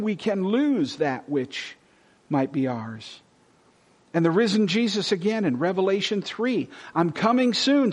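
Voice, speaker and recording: A man preaching from the pulpit with a slightly raised, husky voice. He reads scripture with rising force, then sinks to near-whispers for tender moments. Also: a little high. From Texas. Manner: swings from loud scripture reading to hushed whispers. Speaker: pastor. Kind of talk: sermon.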